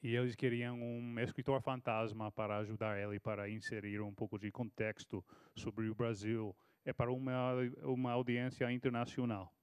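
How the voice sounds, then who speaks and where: A man's voice: mid-range voice, flat delivery, normal speed, hardly any pauses, clean tone, dry recording. A man in his thirties from Texas, a native English speaker with an American accent, telling a story on a university panel in a hesitant way, with many restarts. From Texas, United States. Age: 30s. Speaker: panel speaker, foreign correspondent. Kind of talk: panel talk answering a question.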